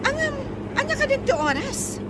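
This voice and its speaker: A woman's voice: very high-pitched, sing-song, brisk, crowd noise, noisy background. A woman playing an imperious, demanding rich madam who bosses her driver about in an Ilocano radio comedy drama.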